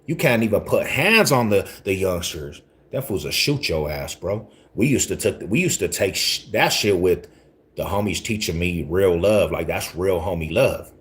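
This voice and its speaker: A man in his forties with a very low voice talks on a casual livestream. He rambles loosely in street slang and circles back to repeat his points, greeting viewers as he goes. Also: expressive, moderate pace, a bit bright. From Denver, Colorado. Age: 40s. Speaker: livestream host talking to viewers.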